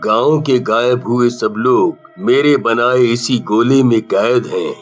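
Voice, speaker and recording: A man voicing a grave village priest in a cartoon story, solemn and measured. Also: medium pitch, pitched below the performer's own voice, natural voice. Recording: muffled band-limited audio, music behind.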